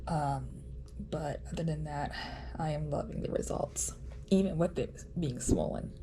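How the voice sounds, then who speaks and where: A woman giving a casual vlog update in a nasal voice, chatty and halting with frequent ums. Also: low voice, vocal fry, noisy background. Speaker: vlogger.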